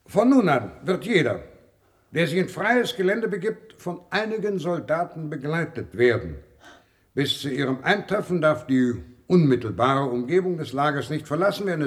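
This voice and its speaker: An actor playing a formal official giving a measured, officious speech in a science-fiction radio play. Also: male voice, mid-range voice, close to an everyday voice. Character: formal male official addressing expedition staff.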